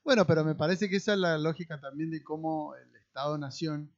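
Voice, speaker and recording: Higher-pitched Rioplatense man, explanatory, muffled audio. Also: fairly even intonation, a little fast. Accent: Rioplatense Spanish. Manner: analytical, explanatory. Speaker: podcast co-host. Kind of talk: casual political commentary.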